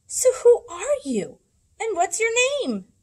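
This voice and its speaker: Lady playing a brave bossy little animal girl from a picture book, high voice, big swoops, telling you off. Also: huge pitch swings, slow, a little mellow, full character voice. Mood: stern. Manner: bold, scolding, emphatic. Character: brave, strict young female storybook animal.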